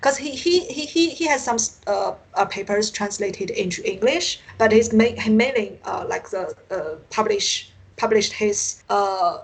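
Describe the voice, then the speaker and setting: A woman from China with a Chinese accent, a native Mandarin speaker, answering interview questions as an academic guest, haltingly searching for words. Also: medium pitch, gravelly, slightly rough, low-quality recording. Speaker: interview guest, university teacher and researcher. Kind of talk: unscripted interview conversation.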